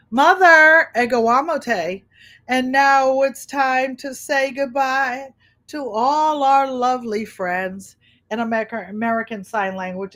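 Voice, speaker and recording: A woman's voice: mid-range voice, a bit bright. A middle-aged woman from Connecticut with a Connecticut accent, a quilting channel host who chats frankly and rambles while sewing. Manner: rambling, frank, talks to viewers by name. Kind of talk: chatty livestream talk while sewing.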